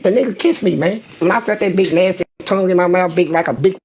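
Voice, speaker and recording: A man casually retelling a prison story in a profane, streetwise, animated way. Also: high-pitched, quick pace, slight background noise. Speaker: former inmate storyteller.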